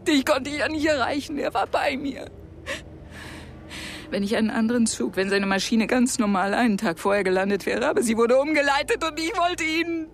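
An actress playing a grieving, guilt-ridden mother in a radio drama, speaking haltingly as if confessing. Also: fairly high pitch, slight background noise, close to an everyday voice. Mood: confessional. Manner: halting, confessional, emotionally strained. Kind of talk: German radio drama acting.

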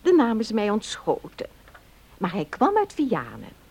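A woman's voice: medium pitch, a little slow, husky, full character voice. An actress playing a chatty, slightly forgetful lady of the house in a Dutch radio play. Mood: chatty, welcoming. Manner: chatty, animated.

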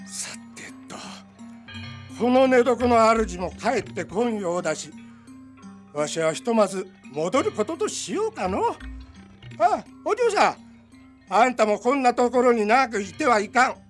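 An actor playing an old, cryptic homeless man, gently teasing and musing in old-fashioned speech, in an anime radio drama. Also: very high voice, very animated, soft background music, heavily acted. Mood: kindly warning. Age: older. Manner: old-man dialect, musing, deliberate delivery.

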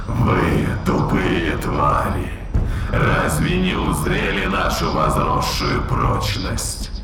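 An actor playing an ancient spirit inhabiting an ape's body, haughty and menacing, speaking in solemn, archaic, contemptuous phrases in an audio drama. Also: male voice, sits low, exaggerated voice. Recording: echoing, distant voice under loud music.

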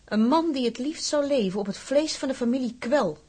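An actress playing a feisty, proud working-class wife in a radio drama, fiercely loyal, blunt and sardonic. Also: female voice, sits high, lively intonation, a little fast, dark tone, slightly rough. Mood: scornful.

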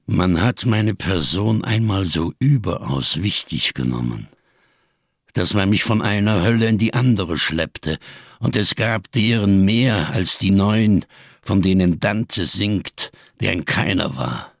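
Gravelly, slow, scarred old-world survivor. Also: male voice, low-pitched, expressive, no echo, clean studio sound, heavily acted. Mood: grim, ironic. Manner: solemn, declamatory, bitterly ironic speech. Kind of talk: German radio crime drama acting.

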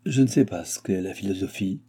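A man's voice: deep voice, a little fast, dark tone, husky. A man voicing a serious, reserved young noblewoman in an audiobook, with terse, sober replies. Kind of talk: audiobook character dialogue.

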